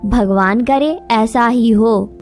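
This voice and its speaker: A woman's voice: a little high, slow, darkish tone. A woman voicing a worried, innocent little female bird with a pleading manner in a children's cartoon story. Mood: anxious. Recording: music behind the voice.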